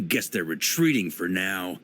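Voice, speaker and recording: A man's voice: low voice, measured pace, a little hiss or hum, exaggerated voice. An actor playing a bold, easygoing, battle-seasoned male knight grandmaster in a video game, with a bluff manner. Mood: measured, thoughtful. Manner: bluff, animated.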